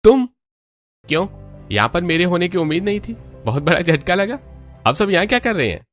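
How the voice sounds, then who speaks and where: A man voicing a trusting, puzzled young neighbour in a cartoon, expressive in manner. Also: high voice, brisk, soft background music. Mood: sarcastic. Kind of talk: cartoon voice acting.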